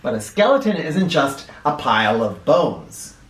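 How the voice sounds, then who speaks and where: A man reading a children's picture book aloud in an expressive, instructive way, speaking directly to young listeners. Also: mid-range voice, measured pace, slight background noise. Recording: roomy echo, distant from the microphone.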